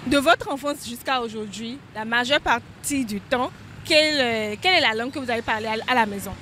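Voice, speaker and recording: Woman, high-pitched, street interviewer, brisk questions, traffic noise behind. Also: bright, forceful tone. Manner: brisk, chatty questioning with frequent "d'accord". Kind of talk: vox-pop street interview. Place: outdoors on a busy street.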